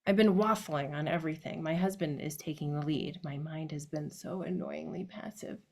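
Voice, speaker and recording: A woman's voice: low-pitched, steady tone, moderate pace, a little mellow. Woman in a reflective stage monologue. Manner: reflective, addressing her late grandfather directly. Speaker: solo stage performer. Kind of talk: autobiographical monologue performance.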